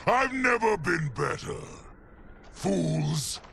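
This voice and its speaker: An actor playing an arrogant, scornful villain in a game cutscene, boastful and defiant with a gravelly voice. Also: male voice, a little high, sing-song, extremely slow, sharp tone, slight background noise, heavily acted. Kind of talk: dramatic video game cutscene acting.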